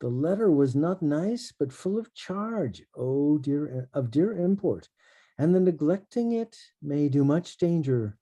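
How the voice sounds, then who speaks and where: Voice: mid-range voice, sing-song, unhurried, mellow, highs cut off. An actor in a table read over a video call, playing a worried, streetwise male mentor with poetic turns of speech. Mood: worried, resolute. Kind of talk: table read of a stage play.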